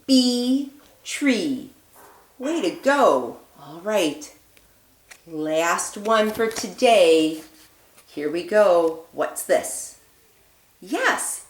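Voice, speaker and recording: Woman teacher for small kids, slow and lilting, slightly high, roomy echo. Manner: sing-song praise to young children, slow exaggerated words. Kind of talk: early-childhood rhyming lesson.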